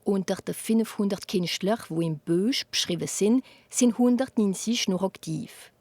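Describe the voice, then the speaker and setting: Casting: female reporter, even scripted voice-over, dry close sound. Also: mid-range voice, measured pace, no room sound. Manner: even, read-aloud delivery. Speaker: news reporter. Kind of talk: scripted narration.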